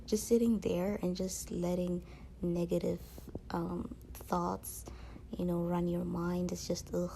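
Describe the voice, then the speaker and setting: A woman talks casually to her viewers in a lowish, mellow voice. She rambles along with slang and filler phrases, over noticeable background noise. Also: little pitch movement, slow, creaky, rough recording. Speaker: vlogger.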